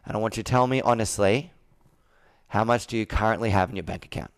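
A man's voice: on the low side, fast, clean tone, dry recording. A man in his thirties working as a sales coach, asking direct, probing, persuasive questions in a conversational roleplay on a video call. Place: indoor room with whiteboard, on a video call.

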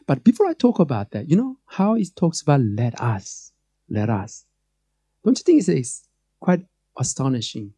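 A man's voice: medium pitch, wildly swooping pitch, moderate pace, warm tone, husky, no room sound. A man in his thirties with a Korean accent, preaching a sermon from a church stage into a handheld mic, joking at times and building to emphatic calls. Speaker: pastor. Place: church stage before a screen.

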